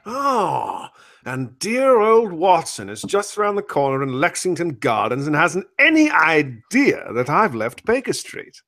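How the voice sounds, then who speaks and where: Genial detective for radio spoof, played by a man: high, bright, slow, declaiming. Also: huge pitch swings, heavily acted. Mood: pleased, musing. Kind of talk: hammy old-time radio parody.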